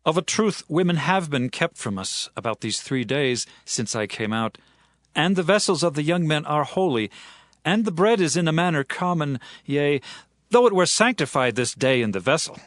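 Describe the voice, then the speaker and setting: Animated, insistent young warrior played by a man. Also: on the high side, moderate pace, no echo, clean studio sound. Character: earnest young fugitive warrior, pressing and persuasive. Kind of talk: dramatized scripture reading, archaic English.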